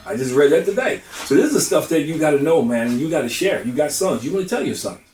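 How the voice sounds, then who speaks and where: A man's voice: a little high, very animated, fast, darkish tone, a little hiss or hum. A middle-aged man in a candid group discussion, giving earnest, emphatic advice. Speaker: discussion participant. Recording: roomy, distant mic.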